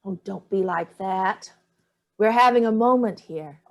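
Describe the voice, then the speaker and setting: A woman's voice: medium pitch, measured pace, natural voice. An older woman playing a haughty, evasive elderly mother in a staged play reading, dismissive and theatrical with barbed remarks. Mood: breezy.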